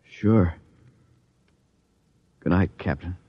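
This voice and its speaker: A man's voice: deep voice, very slow, no room sound, faint music behind, full character voice. An American man playing an earnest, skeptical subordinate on a space expedition in 1950s radio drama, blunt and emotional. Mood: anxious, pleading. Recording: dull vintage radio broadcast recording.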